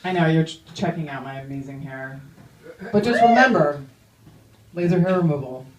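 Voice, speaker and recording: A woman's voice: deep voice, normal speed, slight background noise. Woman, young, stand-up comic, chatty and self-mocking, roomy club sound, mic a bit distant. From Iranian-American. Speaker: stand-up comedian. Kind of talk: stand-up comedy set. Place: comedy club stage.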